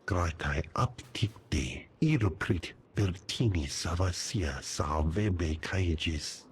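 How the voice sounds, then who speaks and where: Game alien: low, measured, solemn invented tongue, ambient noise behind. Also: male voice, expressive.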